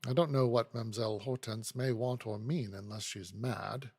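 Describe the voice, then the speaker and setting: A man voices a dry, domineering older lawyer in a storybook. He is brusque, condescending and impatient, with a gravelly voice ringing in a reverberant space. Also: mid-range voice, fairly even intonation, moderate pace, darkish tone. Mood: brisk assurance.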